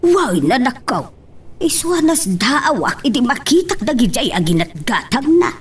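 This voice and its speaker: A woman's voice: sits high, sing-song, a little slow, husky, recorded from a distance, full character voice. A woman playing an anxious, scolding mother, fretful and pleading, in an Ilocano audio drama. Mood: worried. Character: anxious, scolding mother of a sick grown child.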